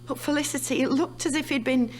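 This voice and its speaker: Anxious, high-voiced woman played by an actress. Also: fast talker, slight background noise, close to an everyday voice. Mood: haunted. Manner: fearful, hesitant, pleading. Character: anxious, haunted young female scientist. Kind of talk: audio horror drama acting.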